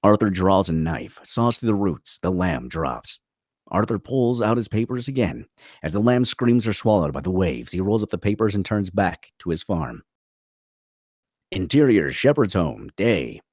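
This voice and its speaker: A man's voice: sits low, very animated, measured pace, darkish tone, no echo, studio quality. A man reading stage directions as the narrator of a dramatic film script at a table read.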